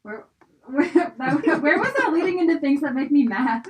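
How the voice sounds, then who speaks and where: A woman's voice: sits high, sing-song, normal speed. Female co-host heard from off-mic, noisy background, teasing banter, giggly. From Florida. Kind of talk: casual chat. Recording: far from mic with noise.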